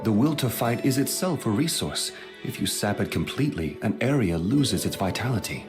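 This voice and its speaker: An actor playing a suave, calculating prince and indulgent elder brother in an anime audio drama, speaking in a measured, courtly, quietly amused way. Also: male voice, fairly low pitch, lively intonation, music in the background, close to an everyday voice. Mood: composed, persuasive. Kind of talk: English-dub anime audio drama acting.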